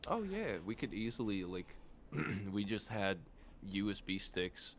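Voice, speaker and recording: A man co-hosting a casual chat, relaxed and agreeable in his banter. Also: lowish voice, unhurried. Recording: faint background noise.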